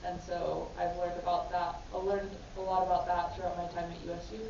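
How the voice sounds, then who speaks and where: Woman panelist, reflective and earnest, lowish voice, distant dull room recording. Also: level tone, fairly quick, gravelly, warm tone, a little hiss or hum. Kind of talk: personal story at a panel. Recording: echoey hall from far off, dull.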